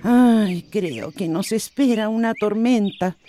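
A woman's voice: medium pitch, slow pace, mellow, a little hiss or hum, lightly acted. A woman playing a chatty, nosy village gossip in a radio drama, teasing and confiding. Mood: gossipy, conspiratorial.

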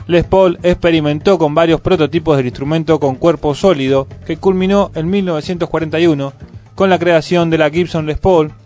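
A man's voice: on the high side, steady tone, a little fast, a little mellow. A man with an Argentine Rioplatense accent reading scripted news on the radio, as a presenter. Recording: music playing under the voice. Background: music bed.

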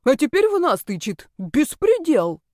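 A woman's voice: mid-range voice, normal speed, mellow, dry recording, clean studio sound, lightly acted. A woman voicing a brash, loyal young male naga, slangy and protective, in a fantasy audiobook. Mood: indignant.

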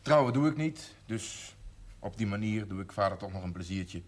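An actor playing a firm, self-assured eldest brother in a television period drama, decisive and matter-of-fact. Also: male voice, on the low side, lively intonation, moderate pace. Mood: resolute.

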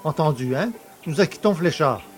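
Man acting a boastful, jovial poacher, lightly high voice. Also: lively intonation, normal speed, faint music behind, close to an everyday voice. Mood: cheerful, familiar. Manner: chummy, cheeky, colloquial. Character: jovial, boastful, familiar rural poacher just freed. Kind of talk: French audio drama acting.

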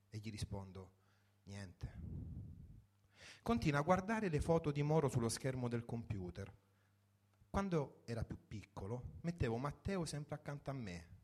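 A man's voice: mid-range voice, a little mellow, breathy edge. A man reading a first-person story for radio in a reflective, wry manner, in short sentences. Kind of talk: dramatic literary reading for radio.

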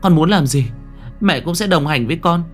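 A man's voice: fairly high pitch, lively intonation, moderate pace, faint music behind, pitched above the performer's own voice, close to an everyday voice. A man voicing a devoted, grieving mother in a dramatic story, emotional and pleading with repeated words. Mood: tearful, devoted. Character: anguished, devoted mother grieving for dying daughter.

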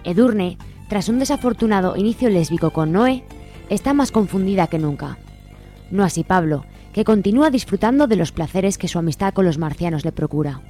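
A woman reading scripted narration for a radio serial in a wry, measured way. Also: lowish voice, dark tone.

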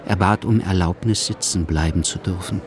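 A man's voice: sits low, moderate pace, close to an everyday voice. A man reading scripted literary narration for a German radio drama. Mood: measured narration. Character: literary narrator of an exiled revolutionary's life.

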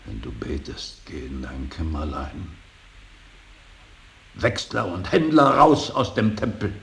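An actor playing a stern, brooding old painter and father in a radio drama, solemn and intense, moving between hushed breathy words and forceful declaiming. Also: deep voice, slow pace, full character voice. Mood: indignant fervour. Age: older. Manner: solemn, intense, swings from hushed whisper to outburst. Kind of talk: 1980s German radio drama acting. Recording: hissy radio drama recording.

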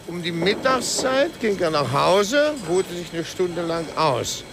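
An older male actor playing a methodical retired police inspector in a 1950s German radio drama, gravelly and emphatic, with dry amusement. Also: high-pitched, sing-song, slow pace, noisy background, exaggerated voice. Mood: probing, matter-of-fact.

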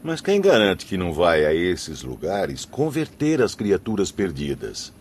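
A man's voice: low-pitched, huge pitch swings, a little slow, a little mellow, a little hiss or hum, heavily acted. A Brazilian man playing a trusting, naive spirit who defends a friend in a radio drama. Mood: questioning, defensive.

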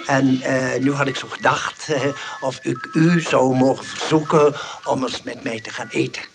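An actor plays a courtly gentleman with a gravelly, creaky voice. He speaks formally and haltingly, pressing politely like a shy but persistent suitor in a radio play, with music behind. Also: medium pitch, fairly even intonation, natural voice. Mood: shy, coaxing. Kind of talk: Dutch radio play acting.

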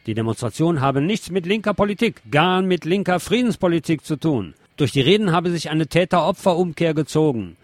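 A man's voice: a little high, animated, brisk, hardly any pauses, dry recording. A man presenting on the radio, reading scripted news and adding commentary.

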